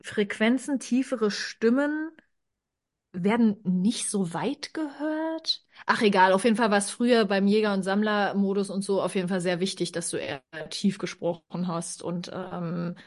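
Woman, podcast guest, casual chat, thinking out loud, fillers and restarts. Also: medium pitch, moderate pace. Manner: thoughtful, explains with many fillers and restarts.

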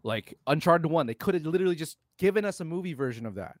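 A man's voice: on the high side, lively intonation, quick pace, no echo, studio quality. A man co-hosting a casual film podcast, talking in an emphatic, exasperated, sweary way. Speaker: podcast co-host. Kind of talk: casual opinionated film discussion.

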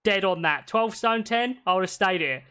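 A man's voice: high voice, animated, moderate pace, pushed and bright, clear, resonant tone. A man hosting a casual podcast from home over a video call, rambling and swearing freely with lots of restarts. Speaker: podcast host.

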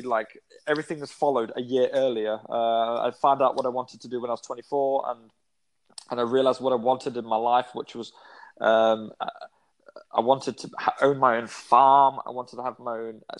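A man in his twenties chats as an interview guest. His pitch sits in an ordinary middle range. He rambles, with lots of ums, uhs and restarts. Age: 20s. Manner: rambling, many fillers and restarts. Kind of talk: casual interview chat.

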